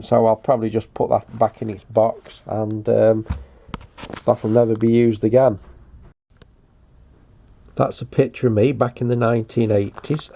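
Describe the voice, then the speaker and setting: A man's voice: on the low side, vocal fry, warm tone. An older British man giving a casual show-and-tell commentary in a hesitant, matter-of-fact way. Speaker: hobbyist video presenter.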